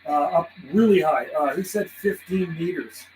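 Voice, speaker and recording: A man's voice: on the high side, normal speed, darkish tone, low-quality recording. Canadian radio guy chatting on air, laughs a lot, lots of call signs, a bit of hiss. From western Canada. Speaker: CB radio operator streaming live. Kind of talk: casual on-air radio chat.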